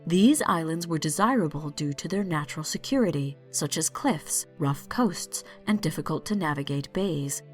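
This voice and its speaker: A woman host reading a scripted solo podcast episode in a calm, measured way. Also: low-pitched, faint music behind. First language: not French.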